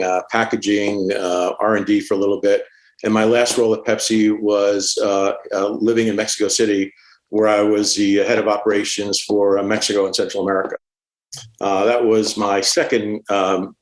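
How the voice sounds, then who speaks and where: Middle-aged executive guest, low voice, measured with many fillers, roomy echo. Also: male voice. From born in Cuba, grew up in Staten Island, New York. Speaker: podcast guest, supply chain executive. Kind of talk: conversational career story. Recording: echoey room.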